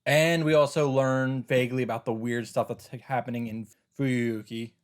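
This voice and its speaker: A man's voice: medium pitch, flat delivery, moderate pace. A man giving casual commentary on a game playthrough, with wry, self-interrupting asides.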